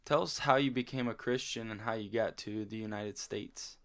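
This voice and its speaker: A man hosting a faith podcast, moving from an upbeat scripted intro to relaxed questions full of small fillers. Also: on the low side, normal speed, no room sound, clean studio sound. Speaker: podcast host and pastor. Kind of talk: interview podcast.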